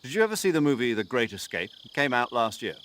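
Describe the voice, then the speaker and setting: An actor playing a talkative military commander giving a mission briefing over the radio in a game cutscene. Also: male voice, medium pitch, big pitch swings, fast talker, fairly bright tone, slight background noise. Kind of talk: video game cutscene acting.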